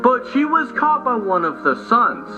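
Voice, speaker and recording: A man's voice: extremely high pitch, sing-song, normal speed. A man delivering scripted countdown narration with casual asides, in a dull lo-fi recording over music. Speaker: video narrator. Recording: dull lo-fi playback with loud music.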